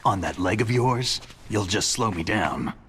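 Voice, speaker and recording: An actor with an American accent playing a gruff, hardened soldier in a video game, curt and deadpan, with a low growl. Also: male voice, measured pace. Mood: stern, commanding. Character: gruff, terse, hardened male soldier on a solo mission. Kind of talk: dramatic video game voice acting.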